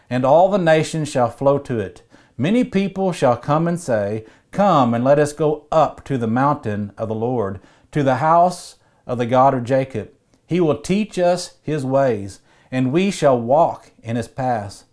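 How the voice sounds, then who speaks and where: A man in his forties delivers a prepared sermon, reading scripture aloud in a measured, earnest way at an ordinary pitch. He stands and speaks to the camera in a book-lined study. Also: darkish tone. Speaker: preacher. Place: indoor study with bookshelves.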